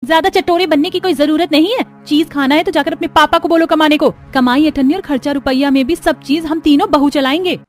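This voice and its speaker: A woman voicing a sharp-tongued, thrifty young village wife in a cartoon story, indignant and scolding. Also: high-pitched, fairly even intonation, brisk, few pauses, pitched above the performer's own voice, close to an everyday voice. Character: feisty, thrifty poor village daughters-in-law, sharp-tongued women. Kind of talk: Hindi cartoon story acting. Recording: music behind the voice.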